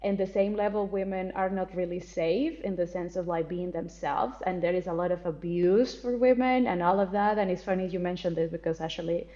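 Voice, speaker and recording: A woman's voice: fairly low pitch, fairly quick, hardly any pauses, warm tone, muffled recording. A woman from Colombia, talking candidly and expressively as an interview guest, laughing easily and swearing casually. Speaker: guest, spiritual teacher.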